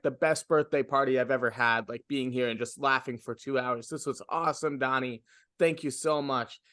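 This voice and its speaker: A man's voice: a little high, fairly bright tone, no echo, studio quality. A young adult man, a comedian, talking reflectively in a casual interview, with lots of fillers and restarts.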